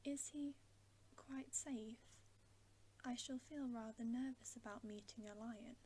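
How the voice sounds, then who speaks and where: A curious, uneasy young girl from a bedtime storybook , voiced by a woman. She speaks in a tentative hush, rather high and gentle in tone, at a slow pace. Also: a little hiss or hum, lightly acted. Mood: curious, nervous. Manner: tentative, questioning, hushed. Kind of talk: whispered storybook character reading.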